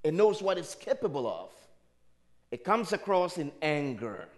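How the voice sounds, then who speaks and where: A man's voice: on the high side, big pitch swings, unhurried. A man from Liberia with a Liberian accent, a native speaker of a Liberian dialect, preaching emphatically to a live audience and putting rhetorical questions to them. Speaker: spiritual speaker. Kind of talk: motivational sermon to a live audience.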